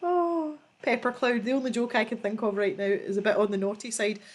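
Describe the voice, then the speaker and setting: A woman's voice: on the high side, fairly even intonation, a little fast. A woman hosting a casual livestream, reading out viewer jokes and laughing often as she chats. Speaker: livestream host.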